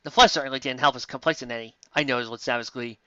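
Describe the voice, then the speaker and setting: A man giving a sarcastic, theatrical dramatic reading of fan fiction and breaking in with excitable commentary. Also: mid-range voice, racing, dry recording, dull audio.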